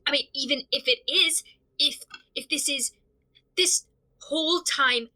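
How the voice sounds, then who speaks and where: Woman as rattled young character, audio drama monologue, high voice, very sharp and tense, halting, stammering. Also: heavily acted. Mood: stunned disbelief. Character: rattled, fearful young listener to secret tapes.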